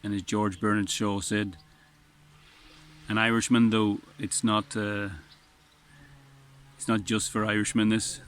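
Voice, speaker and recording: Earnest low-voiced man on a windy beach. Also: little pitch movement, measured pace, pauses often. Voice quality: strong voice. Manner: rambling, earnest, frequent restarts. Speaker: vlogger. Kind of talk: casual spoken reflection. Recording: faint wind noise outdoors.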